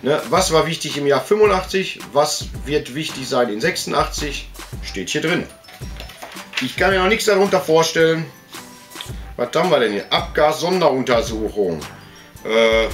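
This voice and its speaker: Middle-aged man, somewhat higher voice, casual show-and-tell host, chatty and meandering, faint music behind. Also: a bit bright. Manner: chatty, colloquial, with frequent tag questions. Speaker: collector and video host. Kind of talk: casual rambling show-and-tell, reading out bits of books. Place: indoor room full of collectibles.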